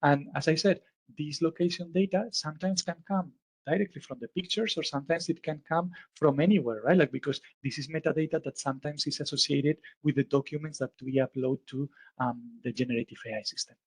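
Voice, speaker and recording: A man's voice: fairly high pitch, a little fast, breathy edge, dry recording. Spanish-accented man giving a dry conference talk. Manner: explanatory, many fillers and "right?" checks. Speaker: lecturer at a symposium. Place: lecture hall.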